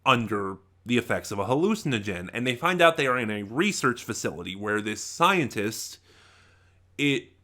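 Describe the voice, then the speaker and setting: Bright-voiced man, halting and rambling. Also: mid-range voice, big pitch swings. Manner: halting, rambling, trailing off mid-thought. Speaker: YouTube reviewer. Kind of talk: unscripted audio drama review, casual talk to viewers.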